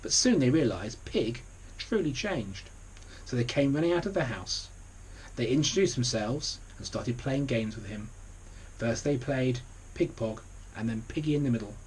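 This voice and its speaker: A man reading a children's storybook aloud, deliberate, with conversational asides to the listener. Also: mid-range voice, expressive, a little mellow, a little hiss or hum, rough recording. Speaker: story reader.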